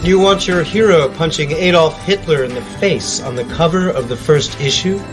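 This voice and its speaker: An excitable comics publisher in an audio drama, acted by a man. He is emphatic and anxious, pitched very high, over clear music. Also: moderate pace, natural voice. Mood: incredulous.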